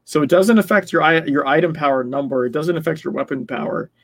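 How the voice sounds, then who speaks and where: A man's voice: fairly high pitch, quick pace, vocal fry, breathy edge, no echo. A man talking casually in a gaming discussion, explaining things with hedging asides.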